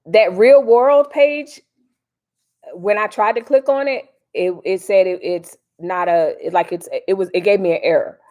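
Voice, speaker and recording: A woman's voice: medium pitch, measured pace, a little mellow. An American woman hosting a casual livestream, rambling informally in folksy slang as she answers viewers. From Miami, United States. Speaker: livestream host. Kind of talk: casual live chat with commentary.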